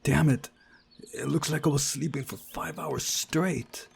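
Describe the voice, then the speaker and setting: A man voicing an anxious, frightened young visual novel hero in a halting, breathy, dramatic way. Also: a little high, steady tone, slight background noise, pitched above the performer's own voice. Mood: alarmed.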